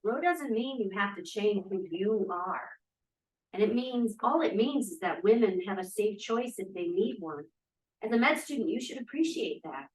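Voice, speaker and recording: A woman's voice: mid-range voice, expressive, normal speed, close to an everyday voice. A woman acting an earnest, pragmatic young feminist activist in a stage play table read. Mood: concerned, frank. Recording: echoey room, distant mic.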